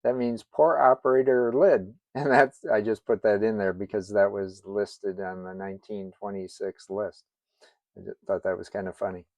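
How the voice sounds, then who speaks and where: An older man giving an informal instructional narration in a measured, plain-spoken manner, as a hobbyist presenter. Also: fairly low pitch, steady tone, a little mellow, no echo. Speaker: amateur radio operator presenting a tutorial.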